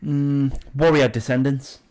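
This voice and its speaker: Keep your pitch in an ordinary middle range and deliver every line as a young mate with dry sarcasm, mocking the others and swearing freely. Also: male voice, fairly even intonation, measured pace, darkish tone. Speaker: podcast co-host. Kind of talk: casual banter among friends.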